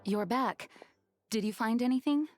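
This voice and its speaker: A woman playing a composed, dutiful woman in authority, grave and courteous, speaking in a measured, formal way in a video game. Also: on the high side, music in the background, close to an everyday voice.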